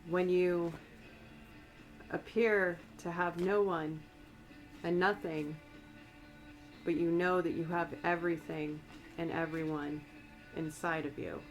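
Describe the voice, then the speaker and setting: Woman, American accent, a bit raspy from a cold, soft ASMR reading, low-pitched. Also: fairly even intonation, slow pace, long pauses, faint music behind, poor audio. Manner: soft, meandering, conversational. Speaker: tarot reader and astrologer. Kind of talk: ASMR-style channeled tarot reading.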